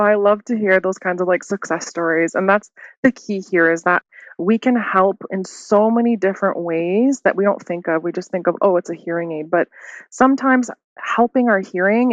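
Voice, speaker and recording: A woman's voice: mid-range voice, dry recording. A woman, a hearing clinician on a podcast, chatting in an upbeat way and laughing often. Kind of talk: casual podcast interview. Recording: dull lo-fi dry sound.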